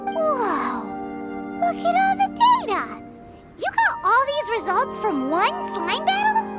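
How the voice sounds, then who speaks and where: A woman voicing a tiny excitable fairy girl companion in a video game, squeaky and childlike, blunt and exclamatory. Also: slow pace, over loud music, full character voice. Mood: amazed.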